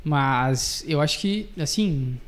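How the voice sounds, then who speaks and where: A man in his thirties with a southern Brazilian accent, chatting casually and haltingly into a close studio microphone. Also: a little high, animated. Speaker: podcast guest, musician. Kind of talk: casual podcast chat. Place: small podcast studio. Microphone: close studio mic on boom arm.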